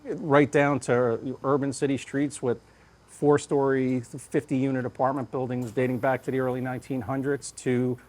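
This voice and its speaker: A man being interviewed as a fire lieutenant, talking conversationally and deliberately in short, thought-out phrases. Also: medium pitch, little pitch movement, a little mellow.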